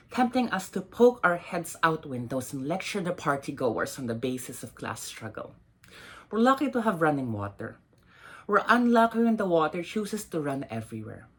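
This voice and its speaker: Woman, poet at a literary reading: low register, some rasp, measured and expressive delivery. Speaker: poet reading own poems. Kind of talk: dramatic poetry reading.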